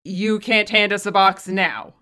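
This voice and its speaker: A wry teenage boy from a game fan story, played by a woman. The voice is forward and bright at a middle pitch, blunt and dryly matter-of-fact. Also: a little slow, smooth. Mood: puzzled.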